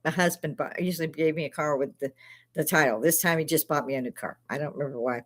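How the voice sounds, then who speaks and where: Older woman, chicago accent, lowish voice, casual rambling stories, frequent laughs, salty language. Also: fast. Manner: laughs often, swears freely, rambling anecdotes. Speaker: live stream host. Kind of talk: casual storytelling chat.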